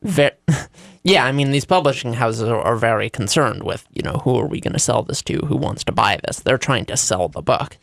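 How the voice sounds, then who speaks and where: A man's voice: medium pitch, expressive, moderate pace, gravelly, a bit bright, no echo. A man chatting casually on a radio talk show, often with a chuckle in his conversational delivery. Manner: chuckles often while talking.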